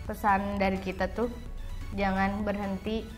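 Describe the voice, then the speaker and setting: A woman's voice: mid-range voice, flat delivery, measured pace. A young woman from Java, co-hosting a casual, informal chat in which she explains words to viewers. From Java, Indonesia. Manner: informal, addresses viewers as friends. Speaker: co-host of a language vlog. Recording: background music.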